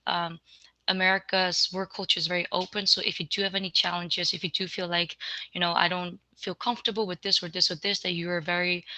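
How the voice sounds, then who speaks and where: Bright-voiced young woman, hesitant. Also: on the low side, no room sound, poor audio. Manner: hesitant, lots of filler words. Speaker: alumni panelist. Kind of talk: panel discussion answer.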